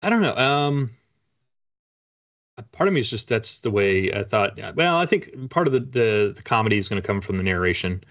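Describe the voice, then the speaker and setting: A middle-aged man with a fairly low voice, chatting as a guest on a video call. He is wry and a little hesitant as he explains things. The sound is somewhat dull and lo-fi. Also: big pitch swings, moderate pace, fairly bright tone. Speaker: guest, author. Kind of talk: casual interview talk. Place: book-lined room at home.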